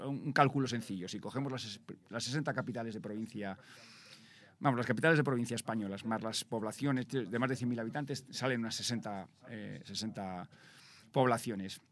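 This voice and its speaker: A man's voice: lowish voice, fairly bright tone. A man with a Spanish accent answering interview questions as an industry representative, explaining in detail and often repeating words as he goes. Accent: Spain. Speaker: bus industry association president, interviewee.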